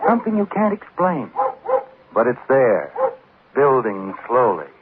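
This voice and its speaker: Ominous narrator on crackly old radio. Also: male voice, medium pitch, huge pitch swings, measured pace. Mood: ominous, insinuating. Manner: insinuating, suspenseful second-person address. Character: ominous, knowing male mystery narrator. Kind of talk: 1940s radio scripted narration. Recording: tinny, noisy 1940s radio recording.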